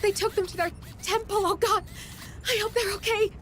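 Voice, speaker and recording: Terrified female civilian captive, very high and tearful, pleading, sci-fi game. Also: steady tone, moderate pace, fairly bright tone. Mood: frightened, pleading. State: tearful, frightened.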